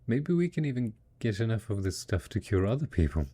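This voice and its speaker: A man playing a devoted, tender husband in a post-apocalyptic audio drama, speaking in a gentle, coaxing, husky voice with plenty of endearments. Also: on the low side, very animated, a little fast. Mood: hopeful, reassuring. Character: devoted, tender, hopeful husband caring for ghoul spouse after apocalypse. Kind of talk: roleplay audio drama, intimate monologue addressed to listener.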